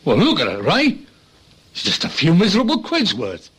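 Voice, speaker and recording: An actor playing a grumbling, sardonic Australian accomplice to a train robbery in a radio drama. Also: male voice, high-pitched, huge pitch swings, normal speed. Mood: disgusted. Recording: clear background noise.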